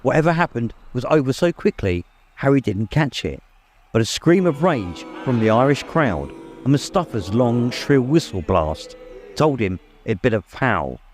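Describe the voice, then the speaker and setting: A man's voice: mid-range voice, big pitch swings, measured pace, a little mellow, faint music behind. A man narrating a story in a dramatic, scripted audiobook style.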